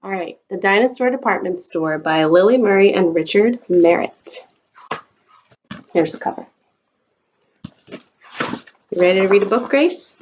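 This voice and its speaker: A woman reading a picture book aloud to a young child, expressive and coaxing, in the manner of a teacher. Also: mid-range voice, unhurried, long pauses, lo-fi sound, slight background noise. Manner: expressive, coaxing talk aimed at small children.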